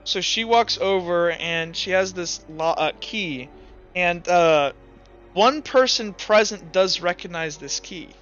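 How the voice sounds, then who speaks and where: Male game master, high voice, halting delivery, dull lo-fi mic; casual tabletop session. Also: a bit bright, soft background music. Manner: halting, many fillers and restarts, laughs often.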